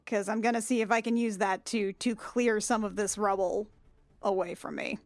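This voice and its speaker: A woman's voice: medium pitch, fairly even intonation, moderate pace, no room sound. A woman playing a tabletop role-playing game, chatting casually with an irreverent, sweary manner.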